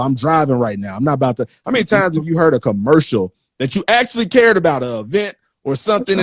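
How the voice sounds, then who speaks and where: A man's voice: fairly high pitch, no room sound. Dry-sounding man hosting a casual interview. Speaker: interview host. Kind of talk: casual conversational interview talk.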